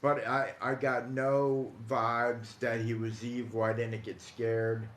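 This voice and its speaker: Roomy echo, American man, forties, casual storyteller, halting and repetitive, faint background noise. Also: medium pitch, level tone, a bit bright. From Ohio, United States. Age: 40s. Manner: halting, repeats himself, conversational. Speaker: host of a paranormal storytelling live stream. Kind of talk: casual live storytelling to viewers.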